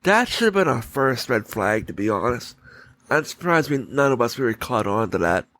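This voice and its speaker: A man's voice: mid-range voice, sing-song, moderate pace, fairly bright tone. A man hosting a podcast solo, giving casual, opinionated commentary in informal language. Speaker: podcast host.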